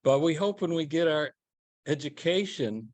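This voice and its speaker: A man's voice: fairly high pitch, lively intonation, normal speed, darkish tone, no room sound. An older man speaking on a panel as a former minister, in a rambling, self-deprecating way. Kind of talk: panel discussion. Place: event hall.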